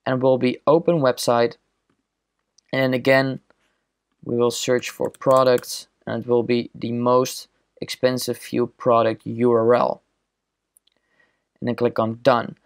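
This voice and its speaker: Man, gravelly voice, tutorial narration, step-by-step, mid pitch. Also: measured pace, long pauses. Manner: steady step-by-step explaining while clicking. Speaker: software tutorial presenter. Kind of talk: screen-recorded tutorial walkthrough.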